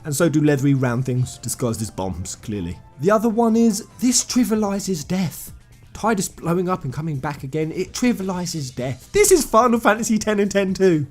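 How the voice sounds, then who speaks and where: A British man presents casual fan commentary in a slightly high voice. His pitch moves around a lot as he makes his points emphatically and enthusiastically. Faint music plays behind him. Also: big pitch swings, normal speed, darkish tone. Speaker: video host.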